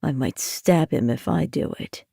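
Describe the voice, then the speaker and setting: A woman voicing a sneering undead nightmare, low and dry. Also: unhurried, creaky, dark tone, breathy edge, dry recording, full character voice. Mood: contemptuous. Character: undead male nightmare spirit, snide and cold.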